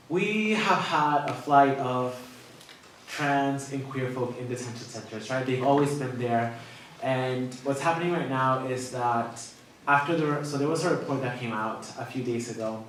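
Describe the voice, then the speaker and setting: A man's voice: mid-range voice, slight background noise. Man explaining from far in an echoey hall. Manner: explanatory, casual, many "right?" tags. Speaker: panelist, organizer. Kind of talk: panel discussion.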